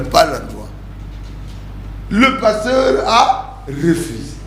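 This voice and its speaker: Older man, Congolese French accent, gravelly voice, slow delivery, echoey room with noise. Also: fairly high pitch. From Democratic Republic of the Congo. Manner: laughs while telling stories, repeats words. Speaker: pastor. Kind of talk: interview answers, anecdotal.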